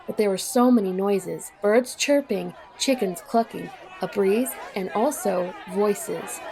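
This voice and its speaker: Slightly gravelly woman narrator. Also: mid-range voice, slow, crowd noise, low-quality recording. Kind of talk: scripted audio drama narration.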